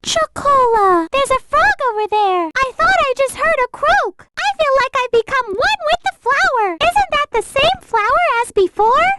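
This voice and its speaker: A woman's voice: extremely high pitch, very noisy, full character voice. A woman voicing a sweet, timid, polite little girl rabbit in a video game, with a tiny, childlike, earnest voice. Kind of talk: video game character voice clips.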